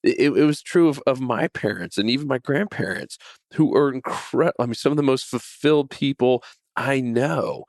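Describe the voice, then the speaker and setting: A man in his forties talks straight into a close, dry microphone. His pitch sits in an ordinary middle range, and his delivery is earnest and conversational. He often stumbles and repeats words while hosting a casual faith talk. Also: normal speed, a little mellow, no echo. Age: 40s. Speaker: podcast host.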